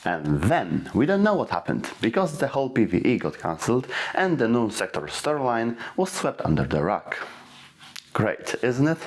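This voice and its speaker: A man's voice: medium pitch, big pitch swings, moderate pace. A man hosting a video, reading scripted narration about game lore, with some wry delivery.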